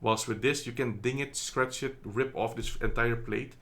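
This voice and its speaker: A man's voice: medium pitch, steady tone, normal speed, a bit bright. A man from the Netherlands giving a casual product review, chatty and hesitant, with many ums and self-corrections, recorded on a phone indoors. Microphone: phone camera.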